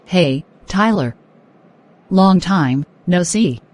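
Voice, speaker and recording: A woman playing a polite, eager young woman in a scripted cartoon dialogue for English learners, asking and answering in a deliberate way. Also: on the low side, lively intonation, darkish tone, no room sound, full character voice. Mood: friendly, casual.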